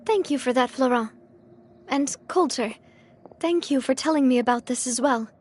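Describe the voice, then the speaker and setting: A woman's voice: high-pitched, moderate pace, slight background noise, natural voice. A woman playing a young, courteous and composed female leader in a video game. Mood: gracious, friendly. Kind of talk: scripted game dialogue acting.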